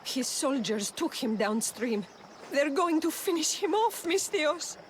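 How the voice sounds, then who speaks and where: A woman's voice: sits high, sing-song, unhurried, a little hiss or hum, poor audio. An actress playing an anguished mother pleading for her captured son in a video game cutscene. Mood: desperate pleading. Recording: music behind.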